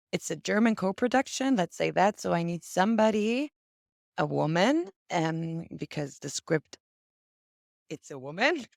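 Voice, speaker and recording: Low gravelly woman guest. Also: no room sound, clean studio sound. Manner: chatty, acts out scenarios as she explains. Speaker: guest demonstrating a casting database. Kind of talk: casual explanatory chat.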